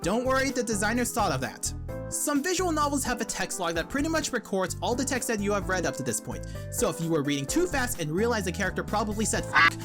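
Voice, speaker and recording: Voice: mid-range voice, big pitch swings, fast talker. A video essay host reading scripted comedic narration in a wry, irreverent manner. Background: background music.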